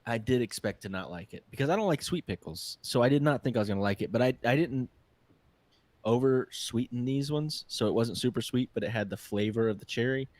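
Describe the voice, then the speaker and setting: A man's voice: mid-range voice, brisk, a little mellow, no room sound. A man co-hosting a casual podcast, a little congested, chatting and joking easily. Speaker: podcast co-host. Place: hot room with a fan.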